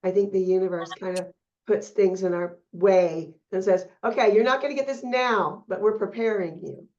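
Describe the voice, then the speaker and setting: A woman's voice: mid-range voice, normal speed, a little mellow. A woman hosting an interview who affirms her guest and asks reflective questions. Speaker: podcast host. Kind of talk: casual interview conversation. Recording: dull, lo-fi audio.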